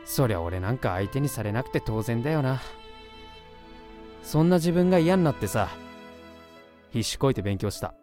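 A man's voice: medium pitch, expressive, moderate pace, pauses often, a little mellow, soft background music, lightly acted. A young man playing an awkward, self-deprecating, lovesick university student in a voice drama. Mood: wistful, earnest. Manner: hesitant, earnest, blunt. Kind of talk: Japanese voice drama acting with narration.

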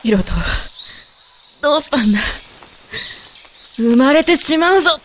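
A woman's voice: high-pitched, lively intonation, unhurried, noisy background. A woman acting a stoic female werewolf from the mountains in a Japanese audio drama, terse and unflappable, with mannish wording. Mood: strained but calm.

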